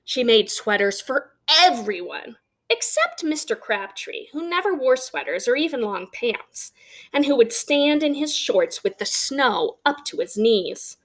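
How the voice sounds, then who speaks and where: Animated woman reading a picture book aloud. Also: high-pitched. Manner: expressive, with emphatic pauses on key words. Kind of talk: read-aloud storytelling with conversational asides.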